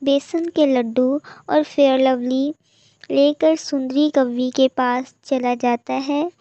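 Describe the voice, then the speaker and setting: A woman narrates a scripted children's story at an unhurried, slow pace. The recording is echoey and somewhat muffled. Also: high voice, steady tone, mellow. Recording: muffled lo-fi audio with echo.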